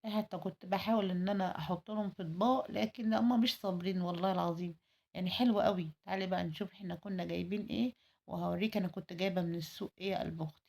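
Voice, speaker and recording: A woman's voice: mid-range voice, creaky, mellow. A woman with an Egyptian Arabic accent in a chatty, effusive cooking vlog, her voice tired, repeating words for emphasis. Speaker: home cooking vlogger.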